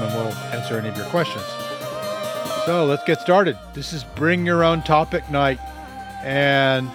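A man from the United States hosting a panel podcast, introducing guests in a relaxed, chatty way. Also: mid-range voice. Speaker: podcast host. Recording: music clearly behind voice.

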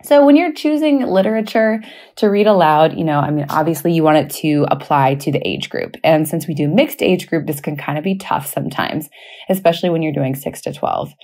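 Woman, low pitch, slightly husky, conversational podcast host, informal and anecdotal. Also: expressive, a little fast, a little mellow. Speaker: podcast host and elementary teacher. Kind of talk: casual spoken podcast talk.

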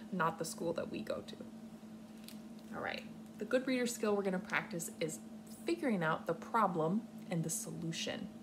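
Casting: young adult American woman, kindergarten teacher reading and explaining to children, medium-pitched voice, noisy living-room recording. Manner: instructive, simple wording with clear explanations. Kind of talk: read-aloud lesson for young children. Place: living room on a couch, indoors. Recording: clearly noisy home recording.

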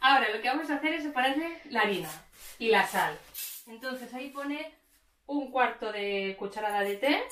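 A woman's voice: a little high, animated, normal speed, fairly bright tone. A woman, explaining a recipe step by step as she cooks at home, picked up by a camera mic across the kitchen. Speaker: cooking show host. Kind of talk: casual cooking demonstration. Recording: echoey kitchen with clattering noise.

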